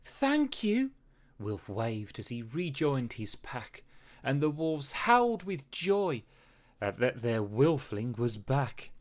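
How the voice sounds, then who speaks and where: A storybook narrator reads rhyming verse for children. The delivery is unhurried and full of dramatic swings, with expressive phrasing throughout. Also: medium pitch. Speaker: storybook reader. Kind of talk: children's read-aloud narration in rhyme.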